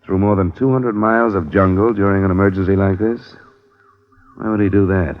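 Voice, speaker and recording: A man playing a deep-voiced hard-boiled investigator. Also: fairly even intonation, a little fast, natural voice. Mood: probing. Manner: terse, matter-of-fact, probing. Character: hard-boiled male insurance investigator narrating his case. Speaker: lead detective and narrator. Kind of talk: 1950s radio drama acting. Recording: lo-fi old radio broadcast with music.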